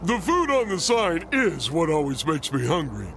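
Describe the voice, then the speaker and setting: A man's voice: on the high side, sing-song, moderate pace, fairly bright tone, slight background noise. A man voicing a dim-witted, happy-go-lucky starfish sidekick in a cartoon game, with a thick, goofy voice and a childlike, oblivious manner. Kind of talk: animated cartoon game voice acting.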